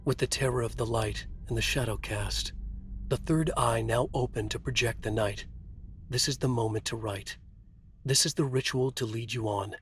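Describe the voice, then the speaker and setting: An actor playing a haunted, trapped writer, narrating his nightmare in a moody, deliberate noir style for a video game. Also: mid-range voice, a bit bright, a little hiss or hum, close to an everyday voice. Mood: solemn, incantatory.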